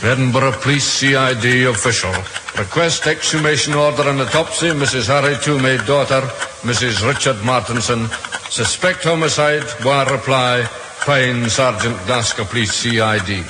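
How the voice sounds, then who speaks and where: A man's voice: medium pitch, normal speed, natural voice. A male actor playing a brusque, probing police sergeant in an old radio drama, commanding and full of questions. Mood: official. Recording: hissy old radio recording.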